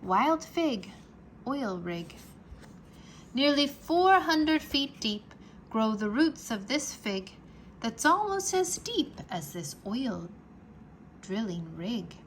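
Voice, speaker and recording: A woman reading a children's book aloud like a teacher, expressive and carefully enunciated for young listeners. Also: a little high, slow pace, a little hiss or hum, low-quality recording. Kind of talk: children's read-aloud narration, rhyming nonfiction.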